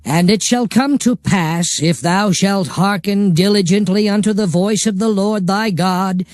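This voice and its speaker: A man giving a solemn, measured, declamatory reading of scripture with music behind. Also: sits high, dry recording.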